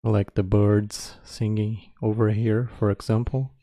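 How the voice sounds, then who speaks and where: A man leading a guided meditation as its teacher, speaking calmly in spare, measured phrases with long pauses. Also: on the low side, dry recording. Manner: calm, measured.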